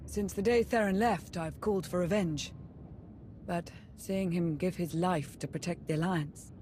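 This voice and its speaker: Actress as loyal game adviser, composed, slightly low pitch, noticeable background ambience. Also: female voice, unhurried, darkish tone, a little hiss or hum, close to an everyday voice. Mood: sober. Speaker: adviser to the commander. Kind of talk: dramatic video game acting.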